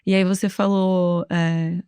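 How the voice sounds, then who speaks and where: A woman's voice: lowish voice, flat delivery, slow, mellow, clear, resonant tone, no echo, studio quality. A woman scriptwriter on a podcast, telling anecdotes in a casual, chatty way.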